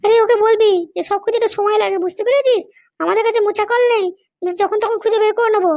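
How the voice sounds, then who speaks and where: A scheming madam from a comedy cartoon, voiced by an actor, squeaky and extremely high like a child's voice. The talk is quick, bossy and threatening, full of ruthless self-justifying ambition. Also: fairly even intonation, darkish tone. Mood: dismissive, bossy. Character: scheming, power-hungry madam, ruthless mother seeking political power.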